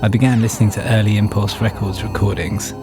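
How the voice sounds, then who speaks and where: A man's voice: on the low side, fairly even intonation, quick pace. A man giving a scripted first-person narration, telling his own story. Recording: music playing behind the voice. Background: music.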